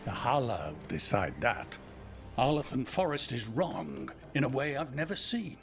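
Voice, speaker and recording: An actor playing a cranky old elf mentor in a video game, wry, blunt and teasing. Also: male voice, medium pitch, big pitch swings, a little slow, faint music behind. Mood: grave, wary. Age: older. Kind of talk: video game dialogue acting.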